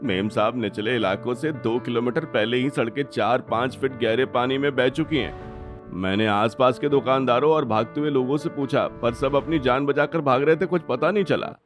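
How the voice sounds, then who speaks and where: A man's voice: mid-range voice, moderate pace, faint music behind, voice pushed up, lightly acted. A man voicing an anxious, obedient driver in a cartoon story, deferential and distressed. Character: flustered, obedient male driver, anxious servant.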